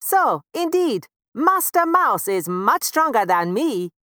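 A woman voicing a tired, rueful talking rope, speaking loud and earnest. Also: high-pitched, big pitch swings, slow pace, no room sound, clean studio sound, pitched above the performer's own voice, exaggerated voice.

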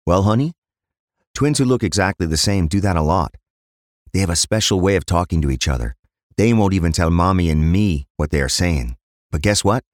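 A man acts out a middle-aged police inspector in a crime audiobook. His voice is low and his way of talking is plain and dry. The recording is close, with very little room sound. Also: animated, fairly quick, no echo. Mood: gentle, fatherly. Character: weary forty-two-year-old police inspector, gentle father, blunt cop.

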